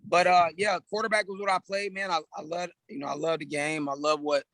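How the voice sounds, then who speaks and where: A relaxed man in his thirties with a gravelly edge and a slightly high pitch, chatting as a podcast guest. He talks loosely, restarts his sentences and drops in "man" as he goes. The sound is dry and close. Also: a little fast, dry recording. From California, US. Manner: laid-back, frequent "man" and restarts. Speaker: podcast guest, personal trainer. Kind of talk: casual conversational interview.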